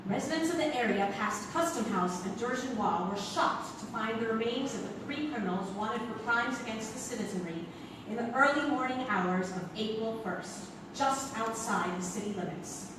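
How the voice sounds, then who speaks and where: Formal female 1800s news reporter played by an actress, highish voice, staged reading, very echoey and distant. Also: expressive, normal speed, lightly acted. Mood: grave, formal. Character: formal nineteenth-century newspaper reporter, read by a woman. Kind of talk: theatrical reading of old newspaper report. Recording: echoey noisy theatre, far from mic.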